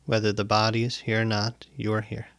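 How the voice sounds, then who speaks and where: A man guiding a meditation as a teacher, speaking in deliberate, instructive phrases with pauses and repetition, close to the microphone. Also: fairly low pitch, monotone, a bit bright, no room sound. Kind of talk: guided meditation, spoken instruction. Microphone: close microphone, dry sound.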